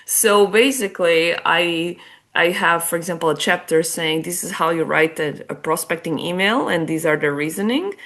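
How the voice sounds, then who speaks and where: Portuguese-accented woman, lowish voice. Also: moderate pace, a bit bright. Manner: chatty, explanatory, many restarts and small laughs. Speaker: guest author and business development head. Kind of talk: casual interview talk.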